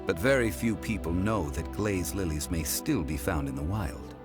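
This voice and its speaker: Man playing a deep-voiced, refined gentleman scholar. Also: close to an everyday voice. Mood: composed, instructive. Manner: formal, measured, explanatory. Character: refined, knowledgeable, composed gentleman scholar of old traditions. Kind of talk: video game voice acting. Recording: music behind the voice.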